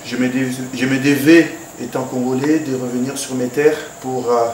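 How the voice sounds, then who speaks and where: A man's voice: medium pitch, steady tone, moderate pace, darkish tone. A man, a former football player, giving a formal, hesitant address to a governor, with many fillers and restarts. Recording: echoey room, background noise.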